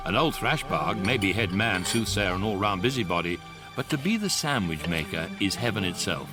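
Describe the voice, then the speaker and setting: Radio drama narrator: wry, exact, mock-serious, very varied intonation, music bed. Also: male voice, deep voice, expressive. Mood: wry, measured. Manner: deliberate, precise, mock-serious. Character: wry, measured narrator of an absurd sci-fi tale. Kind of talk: scripted comic science fiction radio narration. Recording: music playing behind the voice.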